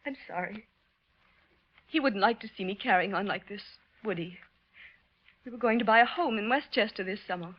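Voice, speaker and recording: An American actress playing a grieving, genteel widow who rambles politely and plaintively in a 1940s radio drama. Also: female voice, mid-range voice, normal speed, pauses often, lightly acted. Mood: tearful, wistful. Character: grieving, genteel widow, a mother prattling sentimentally. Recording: muffled old radio recording.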